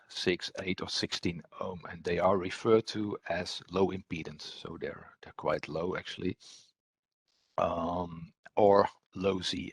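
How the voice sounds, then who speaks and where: Deep, husky man presenting a technical webinar. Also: dry recording. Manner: hesitant, repetitive phrasing. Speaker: presenter, application engineer.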